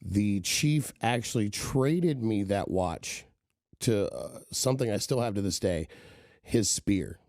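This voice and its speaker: A middle-aged man tells long stories as a podcast guest, tagging his sentences with "right?". His voice sits low and sounds dark and mellow. The sound is close and dry, with no room echo. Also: slow. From Kenya and the southern US. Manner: rambling, animated storyteller with many "right?" tags. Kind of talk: casual storytelling interview.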